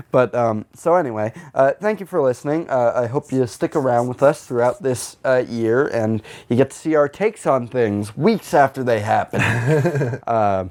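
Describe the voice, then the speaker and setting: A man's voice: medium pitch, measured pace. A man hosting a comedic podcast in loose, casual chat, rambling and swearing freely with self-deprecating humour. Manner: rambling, profane, self-deprecating, animated when quoting. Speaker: podcast host.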